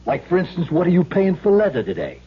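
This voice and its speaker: An actor playing a slick protection-racket collector in an old radio drama, streetwise and falsely friendly. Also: male voice, a little high, big pitch swings, quick pace, breathy edge. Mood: smug. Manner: wisecracking, mock-friendly, streetwise. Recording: dull, noisy vintage radio recording.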